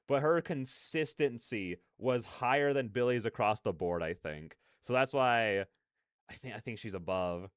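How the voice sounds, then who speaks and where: Brief: young male reaction host, mid-pitched, casual and rambling, recorded dry and close. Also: clear, resonant tone, dry recording. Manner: rambling, repeats phrases. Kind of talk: casual, off-the-cuff reaction commentary.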